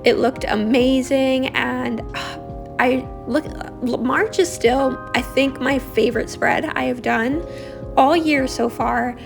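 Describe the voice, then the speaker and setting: Raspy, rambling young woman vlogger. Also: high-pitched, fairly even intonation, takes it slowly, music in the background. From Australia. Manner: rambling, chatty, many fillers. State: raspy, harsh throat. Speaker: bullet journal vlogger. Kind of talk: casual voice-over while drawing.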